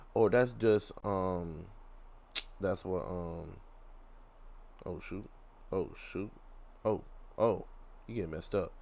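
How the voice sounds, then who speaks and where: A man's voice: on the low side, gravelly. A man giving a casual, rambling gaming reaction, full of slang and repeating himself as he goes. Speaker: gaming YouTuber reacting to a video. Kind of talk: casual live reaction commentary.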